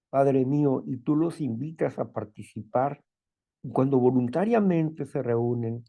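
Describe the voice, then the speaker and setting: A man with a strong, loud voice who sounds stern without being angry, leading a spiritual talk and prayer. He repeats phrases and often checks in with "¿no?" and "¿verdad?". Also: medium pitch, vocal fry. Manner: fervent prayer calling on the Father. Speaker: group leader.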